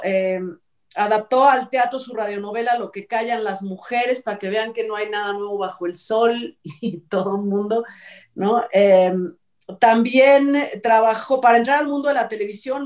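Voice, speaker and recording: A woman's voice: fairly high pitch, darkish tone. A middle-aged woman with a Mexican accent co-hosting an entertainment talk show, chatty and opinionated, giving casual news commentary. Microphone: video call. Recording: distant mic on video call.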